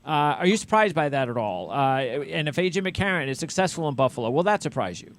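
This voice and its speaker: A man hosting a casual sports interview, asking questions in a halting way and often rephrasing mid-sentence. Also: fairly high pitch, big pitch swings, a little hiss or hum. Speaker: interviewer, sports show host.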